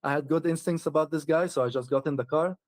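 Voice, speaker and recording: Guy talking about his trip, kinda high voice, dry close sound, laughs a lot. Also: steady tone, darkish tone, no echo. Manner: laughs often, halting restarts. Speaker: traveler guest. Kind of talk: casual interview chat.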